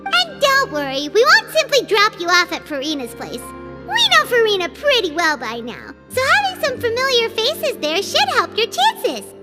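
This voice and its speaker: Tiny squeaky fairy sidekick, super high and bouncy, cheeky game voice, loud music behind. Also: female voice, huge pitch swings, moderate pace, exaggerated voice. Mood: chatty, thoughtful. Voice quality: squeaky, childlike. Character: small childlike female fairy companion, chirpy, cheeky, helpful. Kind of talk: English video game voice acting.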